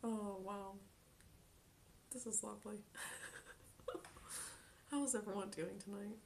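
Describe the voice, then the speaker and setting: A young woman talks casually into a desk mic in a small bedroom, laughing easily and thanking viewers as she goes. She often slips into soft, breathy near-whispers between her normal chatty lines, and a faint low rumble sits under the room. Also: steady tone, slow pace. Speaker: Twitch streamer. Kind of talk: casual live-stream chat, reading chat aloud.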